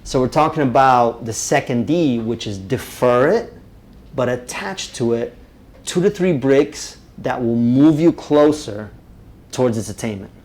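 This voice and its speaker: A man from Romania, teaching a live public speaking workshop, energetic and often checking in with the group. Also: mid-range voice, a little slow. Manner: energetic, checks in with "right?" constantly.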